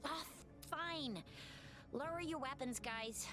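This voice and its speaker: A woman's voice: high-pitched, slow, over music. A woman playing an alarmed, blustering officer in a video game, urgently giving orders. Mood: reluctant. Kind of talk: video game character acting.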